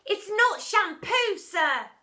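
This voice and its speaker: A woman's voice: very high-pitched, sing-song, takes it slowly, pushed and bright, voice pushed up, heavily acted. A woman voicing a cheeky, filthy schoolboy in a storybook, exclaiming with eager glee.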